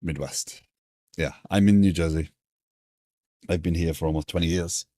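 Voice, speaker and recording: A man with a British accent softened by years in America, chatting casually on a coding live stream and thinking aloud in a stop-start way. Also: deep voice, steady tone, normal speed, dry recording, clean studio sound.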